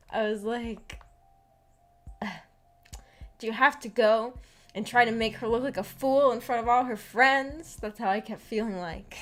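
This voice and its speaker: High-voiced, giggly American woman chatting casually. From United States. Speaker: streamer hosting a visual novel book club. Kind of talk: casual chat, discussing a story with viewers. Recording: voice over background music. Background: background music.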